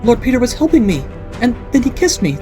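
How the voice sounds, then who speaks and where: A woman's voice: fairly high pitch, very animated, fast, over music, voice pushed up. A woman voicing a frightened, meek young noble girl who pleads timidly. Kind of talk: audiobook character voice.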